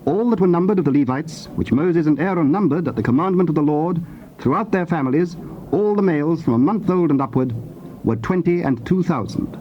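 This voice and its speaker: A man narrates scripture formally and solemnly, in measured phrases. His voice sits a little high, on a dull cassette recording with faint noise behind it. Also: expressive. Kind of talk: scripted dramatized Bible narration. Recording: dull, noisy old cassette tape.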